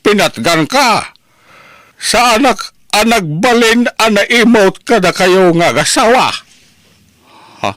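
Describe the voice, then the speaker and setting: A man playing an excitable, indignant older uncle in a family radio drama, exclaiming and scolding. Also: high-pitched, no room sound. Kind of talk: Ilocano radio drama acting.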